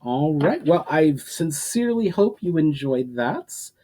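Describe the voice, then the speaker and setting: A man's voice: a little high, very animated, unhurried, warm tone. Male author reading and presenting fantasy. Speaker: author reading his own fantasy fiction. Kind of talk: dramatic scripted narration, casual sign-off.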